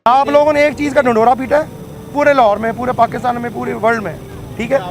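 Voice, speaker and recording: A man with a very high voice and a Pakistani accent makes an insistent, defensive statement to reporters. Clear music plays over the outdoor recording. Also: steady tone, fast. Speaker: relative speaking to media.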